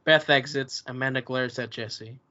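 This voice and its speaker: Narrator: male, medium pitch, reading stage directions from a script, slightly lo-fi recording. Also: steady tone, normal speed, pushed and bright. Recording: dull lo-fi sound.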